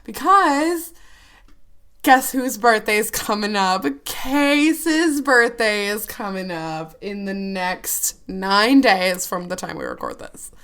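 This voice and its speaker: A woman in her twenties co-hosting a podcast, chatty and bubbly, with a hoarse, scratchy voice. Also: medium pitch, animated, slow, fairly bright tone. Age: 20s. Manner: bubbly, chatty, laughs often. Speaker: podcast co-host. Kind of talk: casual chat.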